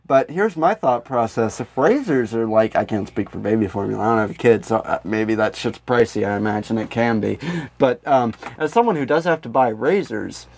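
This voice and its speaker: A man's voice: mid-range voice, expressive, a little fast, vocal fry, a little mellow, husky. An American man co-hosting a comedy podcast in casual banter, swearing freely and often laughing through his own sentences. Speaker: podcast co-host.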